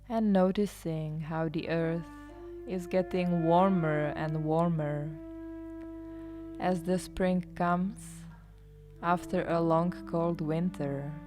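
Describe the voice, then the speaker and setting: A woman leading a guided meditation as a yoga nidra guide, soothing and hushed, often trailing into a near-whisper. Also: fairly low pitch. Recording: live instrumental music behind the voice. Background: soft live instrumental music.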